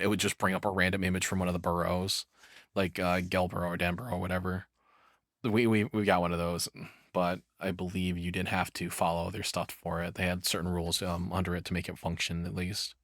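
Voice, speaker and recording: A man's voice: low-pitched, fairly bright tone, no room sound, clean studio sound. A man giving casual, off-the-cuff reaction commentary as a streamer, rambling and swearing as he talks.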